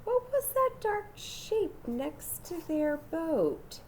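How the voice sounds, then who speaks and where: Female storybook reader: unhurried, lively swooping intonation, dramatic, engaging young listeners directly. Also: very high voice, slight background noise. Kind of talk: expressive children's read-aloud with asides to young listeners.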